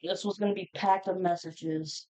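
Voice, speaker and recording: A woman's voice: fairly low pitch, steady tone, normal speed, a little mellow, rough recording. A woman giving a casual, unscripted tarot reading to viewers, speaking in a blunt, informal, foul-mouthed manner. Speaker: tarot reader hosting a zodiac reading.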